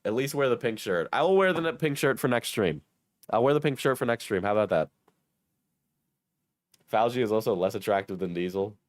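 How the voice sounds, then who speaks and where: A hoarse young man with a cold, joking and swearing casually as he reads his chat on a livestream. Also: on the low side, lively intonation, brisk, long pauses, no echo, studio quality. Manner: joking, self-mocking, swears. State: hoarse, sick. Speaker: streamer reading chat.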